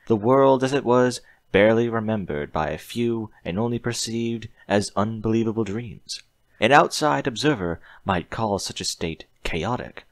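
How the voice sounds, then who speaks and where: A man's voice: lowish voice, no room sound. A man reading a story aloud in a scripted audiobook narration style.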